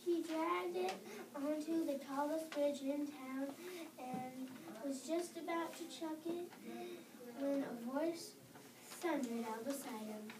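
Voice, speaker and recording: Child reader, high voice, halting storybook delivery, captured from across an echoey room. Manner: halting read-aloud with stumbles and corrections. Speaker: reader in a readers theater performance. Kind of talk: scripted story reading aloud. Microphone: distant microphone. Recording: roomy, distant microphone, noticeable background noise.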